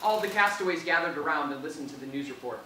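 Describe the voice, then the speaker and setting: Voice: medium pitch, fast, bright, forceful tone, distant mic. An amused host introducing and narrating a comic staged reading in a casual way, prone to laughing. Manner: amused, often breaking into laughter. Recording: echoey, very noisy room, off mic.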